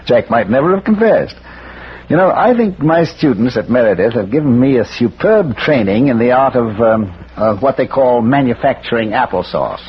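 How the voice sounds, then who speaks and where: Erudite schoolmaster sleuth, gently wry, expressive pitch, hesitant phrasing, muffled old radio drama. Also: male voice, slightly rough. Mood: amused, confiding. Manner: hesitant, erudite, measured, given to recitation. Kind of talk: 1940s radio acting. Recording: muffled vintage radio recording with music.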